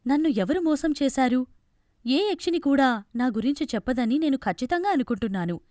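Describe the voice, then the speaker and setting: A woman plays a young storybook fairy, guarded and formal. The voice is high and animated, with an insistent manner of setting out terms. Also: fairly quick, no room sound. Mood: surprised, suspicious.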